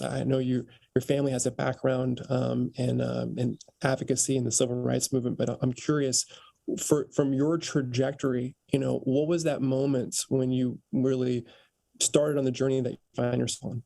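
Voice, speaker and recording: A man's voice: mid-range voice, level tone, moderate pace, gravelly, mellow, no echo. An American man interviewing a guest in a conversational way, feeling out his phrasing as he goes.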